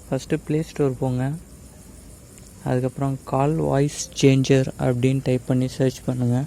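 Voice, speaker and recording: Male tech tutorial host, mid-pitched and relaxed, casual step-by-step explaining with English words mixed in. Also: normal speed, slight background noise, rough recording. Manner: explanatory, addresses viewers directly, mixes in English words.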